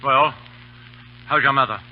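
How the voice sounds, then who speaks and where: An actor in an old radio drama playing a stern, middle-aged British colonel, aloof and clipped in manner. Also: male voice, medium pitch, a little slow, slight background noise, lightly acted. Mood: gruff, awkward. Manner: clipped, gruff, commanding. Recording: muffled old radio broadcast.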